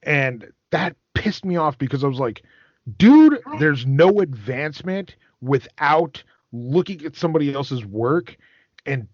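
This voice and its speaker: A man's voice: fairly high pitch, very animated, unhurried. A man hosting a podcast, giving his opinions in emphatic, casual chat. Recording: roomy, slightly dull sound.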